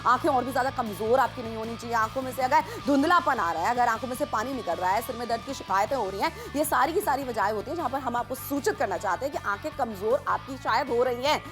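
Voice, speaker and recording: Woman shopping-channel host, high and rapid, pushy sales patter with music under it. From Ghaziabad, India. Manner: persuasive, urgent, addresses viewers directly. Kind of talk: live teleshopping sales pitch.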